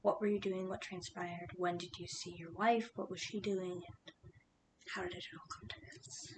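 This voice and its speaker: A woman voicing a calm, patient female police detective, whispering softly and asking careful, soothing questions in an ASMR roleplay. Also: moderate pace, natural voice. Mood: questioning. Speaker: interrogator. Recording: steady low rumbling noise.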